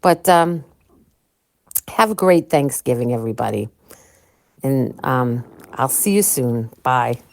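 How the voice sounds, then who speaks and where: A woman co-hosting a podcast, talking casually and excitably to listeners, with lots of ums and restarts. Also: rumbling low, slow pace, creaky, long pauses. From Chicago. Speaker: podcast co-host.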